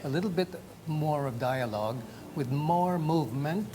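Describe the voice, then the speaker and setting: A man's voice: fairly high pitch, moderate pace, background noise. A man, a guest who produces an audio drama, explaining his process carefully with many fillers. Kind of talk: interview answers.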